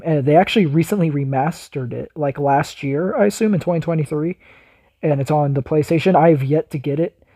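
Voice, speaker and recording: Male reaction host, slightly higher-pitched and husky, speaking in casual commentary. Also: warm tone, no room sound.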